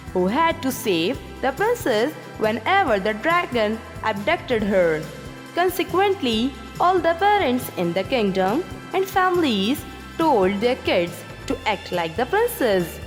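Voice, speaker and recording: A woman reading scripted stories for children like a teacher, pronouncing each word deliberately and clearly. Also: a little high. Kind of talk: scripted story narration for children. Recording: long reverb, music behind. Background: music.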